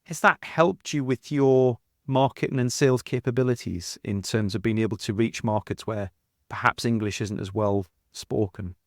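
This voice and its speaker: A man's voice: mid-range voice, normal speed, dry recording, clean studio sound. A man in his thirties hosting a podcast, asking questions in an enthusiastic, complimentary way. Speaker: podcast host. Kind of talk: podcast interview.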